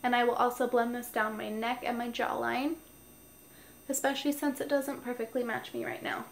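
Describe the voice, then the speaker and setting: A woman's voice: on the high side, expressive, normal speed, vocal fry, a little hiss or hum. A woman with a stuffy nose, chatting casually through her makeup routine step by step while recording at home. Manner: chatty, explains each step. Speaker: beauty vlogger. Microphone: noisy mic.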